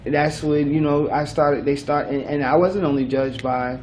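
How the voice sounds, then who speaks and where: A man's voice: on the high side, steady tone, normal speed, darkish tone, slight background noise. A man hosting a local business talk show, talking in a loose, rambling way with many restarts. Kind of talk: casual interview chat.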